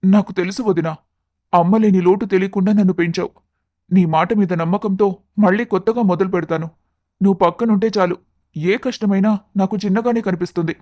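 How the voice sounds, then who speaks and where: A man voicing an anxious, affectionate young job seeker, earnest and confiding, in an animated moral story. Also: high-pitched, a little fast, dry recording, lightly acted. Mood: grateful.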